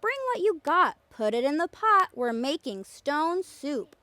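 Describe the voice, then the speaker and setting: A woman's voice: sits high, sing-song, unhurried, bright, forceful tone, no echo, voice pushed up, exaggerated voice. A woman voicing a hungry, boastful male traveler in a children's storybook, calling out in a gleeful sing-song way. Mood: excited chanting. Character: two hungry male travelers, cheerful boastful master cooks.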